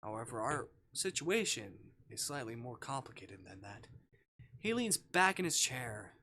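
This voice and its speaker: Bright-voiced man streaming a visual novel. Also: medium pitch, big pitch swings, a little slow, slight background noise. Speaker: livestreamer. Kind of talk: reading a visual novel aloud with casual commentary.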